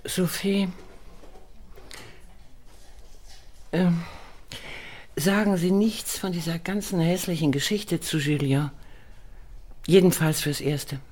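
A woman's voice: lowish voice, steady tone, a little slow, long pauses, darkish tone, no room sound. An actress playing a worldly, authoritative older woman who leads an expedition in a German radio play. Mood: confidential. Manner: authoritative, decisive.

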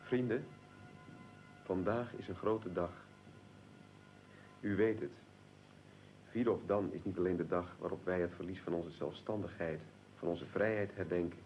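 A man playing a fervent, solemn nationalist conspirator leader in a radio drama, speaking in a deliberate, emphatic, oratorical way. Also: on the low side, muffled recording, a little hiss or hum.

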